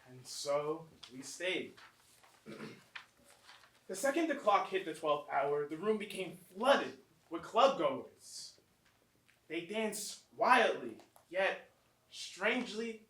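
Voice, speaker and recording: An actor playing an uneasy young clubgoer narrating a horror tale in a theatrical, deliberate staged reading. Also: mid-range voice, expressive, fairly bright tone. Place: small theatre, recorded from a distance. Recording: distant roomy stage recording, faint noise.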